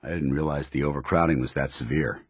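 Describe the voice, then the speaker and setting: A stern, loyal male military officer from a video game. His voice sits low and is smooth, never muddy. He speaks in formal, clipped, earnest phrases, as a dutiful soldier would, in a very dry studio sound. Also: fairly even intonation, fast talker, no room sound. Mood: wary, observant. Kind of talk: video game companion dialogue.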